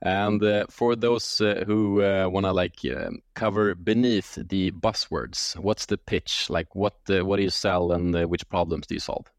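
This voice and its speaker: A man's voice: low voice, steady tone, unhurried, hardly any pauses. A man hosting a podcast, reading a scripted intro, then asking questions hesitantly with many filler sounds. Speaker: podcast host.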